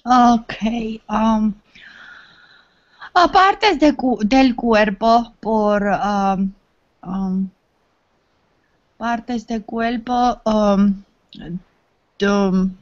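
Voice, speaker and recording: A woman student answers quiz questions in an online Spanish class, hesitating and filling the gaps. She talks very slowly, with a slightly high pitch. The call audio sounds dull and lo-fi. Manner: hesitant, many fillers.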